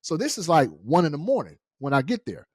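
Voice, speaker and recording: A man's voice: a little high, big pitch swings, brisk, darkish tone, slightly rough, dry recording. A middle-aged American man telling a personal story casually and colloquially, acting out the dialogue as he goes. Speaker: storyteller, show host.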